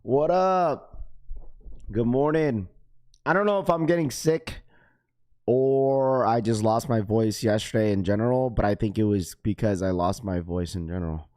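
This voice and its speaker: A man hosting a morning livestream, hoarse and losing his voice, greeting viewers in laid-back slang. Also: on the low side, a little slow, darkish tone, no room sound, studio quality. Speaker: livestream host. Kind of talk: casual livestream chat. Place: Las Vegas, indoors.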